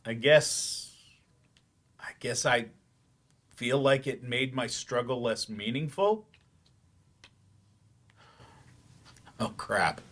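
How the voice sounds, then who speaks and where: A man's voice: mid-range voice, takes it slowly, fairly bright tone. A male actor in a staged play reading, playing a frustrated, defensive therapy patient who talks in a hesitant, grudging way. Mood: resistant, shaken. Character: defensive, frustrated man in therapy, once-promising writer turned teacher.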